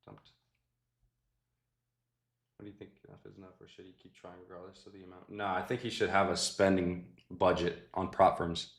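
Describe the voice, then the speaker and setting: A young man with a low, deep voice runs a live trading stream. He talks in a loose, laid-back way to his chat and thinks out loud at his desk mic, often trailing off into a soft mutter. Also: flat delivery, normal speed, long pauses. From Mexican family. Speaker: livestream host trading futures. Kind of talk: casual live trading commentary.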